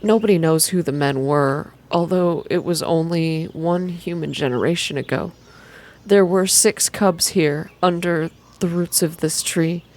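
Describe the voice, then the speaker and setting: Woman reading as a quiet, wistful man. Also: lowish voice, a little slow. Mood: wistful, calm. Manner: quiet, hesitant, calming. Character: shy, wistful man, quiet storyteller and guide.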